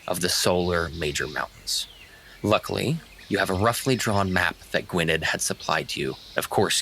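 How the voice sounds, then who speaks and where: A man acting as game master, narrating a fantasy tabletop scene in deliberate, descriptive phrases. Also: low-pitched, fairly bright tone, slight background noise.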